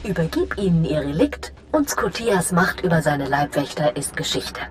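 A woman playing a scheming, imperious female Sith lord in a German game dub, commanding and deliberate. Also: low voice, very animated, slight background noise. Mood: calculating, commanding.

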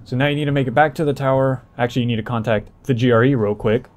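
A man's voice: medium pitch, steady tone, rapid-fire. A man doing casual, explanatory gameplay walkthrough commentary as the host, talking informally to viewers.

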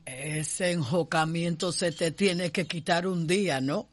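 A knowing, fatherly plantation slave in a radio drama talks in rustic Cuban dialect. The voice is high for a man and moves slowly, drawing out words. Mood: teasing. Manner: rustic dialect, drawn-out delivery. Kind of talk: radio soap opera acting.

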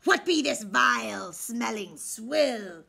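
A woman's voice: fairly high pitch, lively intonation, takes it slowly, creaky, bright, forceful tone, husky, heavily acted. Braidbeard, a woman doing a bellowing pirate captain. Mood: gruff. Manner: bellowing pirate talk, old-time sea slang. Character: loud blustery male pirate captain. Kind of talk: storybook read-aloud.

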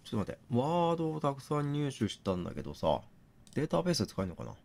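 A man doing gaming commentary, thinking out loud and reasoning step by step, mixed with reading text aloud. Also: medium pitch, very animated, quick pace, vocal fry, slight background noise. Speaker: game streamer reading on-screen text aloud.

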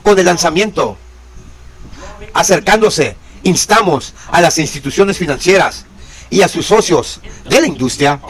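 A man in his thirties preaching with a fairly high, very lively voice that rises and falls sharply. He stresses and repeats key words and keeps asking rhetorical questions. He speaks to a camera in a plain room indoors. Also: husky. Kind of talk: religious commentary reading news. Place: indoor room with pale wall. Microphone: fixed camera, mid shot.

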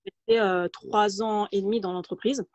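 A woman's voice: medium pitch, steady tone, normal speed, darkish tone, dry recording. Hesitant woman on a dull, lo-fi call. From France, Paris region. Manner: hesitant, many fillers and restarts. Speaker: guest. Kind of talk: casual interview, telling her career story. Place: home room, video call. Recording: dull, lo-fi video call audio.

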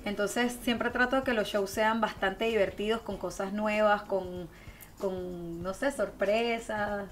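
A woman's voice: medium pitch, steady tone, slow pace, a little hiss or hum. A woman with a Venezuelan accent chatting and telling stories expressively as a guest in a radio interview. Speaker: guest singer and songwriter. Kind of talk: casual radio interview storytelling. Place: radio studio. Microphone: close studio microphone with headphones.

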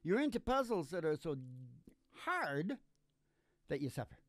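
A man's voice: high voice, very animated, measured pace. An older man hosting a music podcast, bantering in a rambling, folksy, jokey way on a stage microphone. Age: older, under eighty. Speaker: podcast host and country musician. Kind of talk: rambling comic philosophical banter. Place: small curtained studio stage. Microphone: stand-mounted vocal microphone.

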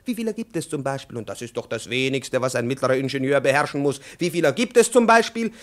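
A man's voice: medium pitch, very animated, quick pace, close to an everyday voice. Guy acting a stuffy irritable old-school professor, pedantic lecturing, fifties radio drama. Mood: stern lecturing. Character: pompous, irritable male private professor. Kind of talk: 1950s German radio play acting.

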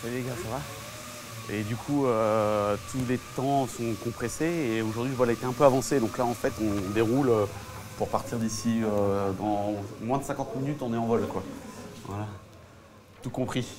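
A man's voice: mid-range voice, fairly even intonation, normal speed. A middle-aged man, a display pilot, speaking casually as he is interviewed on the move. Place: hangar and corridors at an airfield. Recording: clear ambient noise on location.

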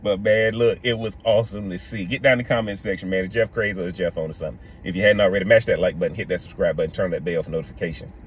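A middle-aged man hosting a casual opinion vlog from inside a car, talking to the camera in an animated way and calling his viewers "guys". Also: sits low, racing, few pauses, slight background noise. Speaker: podcast host. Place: parked car interior.

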